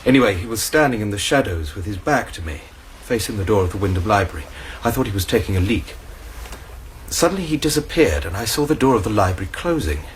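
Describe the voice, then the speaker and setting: A man's voice: lowish voice, very animated, quick pace, a little hiss or hum. An actor playing an anxious, agitated young male student in a radio drama. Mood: earnest recounting. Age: young adult.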